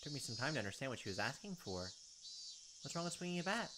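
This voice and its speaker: A man's voice: mid-range voice, very animated, fast talker, very noisy. A man reading a visual novel's text aloud in a let's play, adding joking asides.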